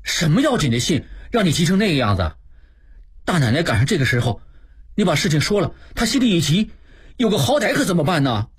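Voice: medium pitch, big pitch swings, fairly quick, slightly rough. A narrator playing a forgetful but cautious young manservant, hesitant and offhand, in an audiobook. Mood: worried, cautioning.

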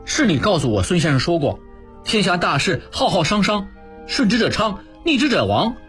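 Audiobook role: level-headed male companion, acted by a narrator, reasoning and insistent, expressive pitch, light music. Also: moderate pace. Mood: persuasive, emphatic. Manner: reasoning, emphatic. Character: level-headed, persuasive man advising his companion.

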